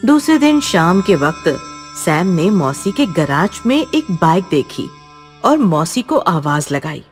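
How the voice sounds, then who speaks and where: Slow, animated woman narrating horror. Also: fairly low pitch. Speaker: storyteller, narrator. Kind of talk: dramatic scripted horror narration. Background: background music.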